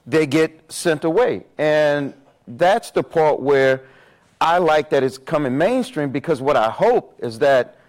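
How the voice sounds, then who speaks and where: Loud, emphatic man on a panel stage. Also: a little high, lively intonation, slow pace. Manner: emphatic, repeats words while building a point. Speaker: panelist on justice reform. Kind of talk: impassioned panel talk. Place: on stage at a live event.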